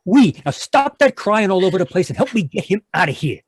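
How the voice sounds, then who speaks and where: Brutish male crook played by a man for radio crime drama; raspy, bossy, dry close sound. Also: high voice, big pitch swings, fairly quick, no room sound, exaggerated voice. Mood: irritated, bossy. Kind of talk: old-time radio crime drama acting.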